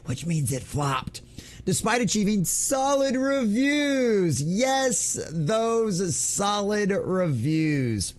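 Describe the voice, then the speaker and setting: A man hosting an online gaming news show, giving opinionated commentary in an emphatic, theatrical, mocking way. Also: high voice.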